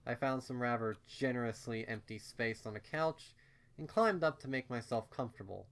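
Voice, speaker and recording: A man's voice: medium pitch, normal speed, a bit bright. A man reading fan fiction aloud as scripted story narration.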